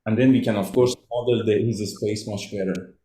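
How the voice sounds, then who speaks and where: A man whose native language is Farsi, presenting his research at an online journal club and answering questions in a measured, explanatory way over a video call. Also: on the low side, fairly even intonation, mellow, echoey room. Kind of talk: academic journal club talk.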